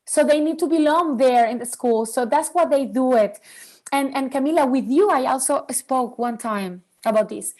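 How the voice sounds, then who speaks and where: A woman in her forties from Venezuela whose first language is Spanish, speaking as a guest about raising bilingual children in an earnest, animated way. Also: high-pitched, normal speed, poor audio. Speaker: podcast guest, bilingual parenting expert. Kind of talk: interview conversation.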